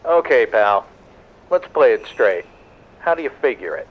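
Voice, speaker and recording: A man's voice: medium pitch, sing-song, measured pace, highs cut off. A man playing a wry, tough police lieutenant with wisecracking patter in a 1940s-style noir radio drama. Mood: businesslike.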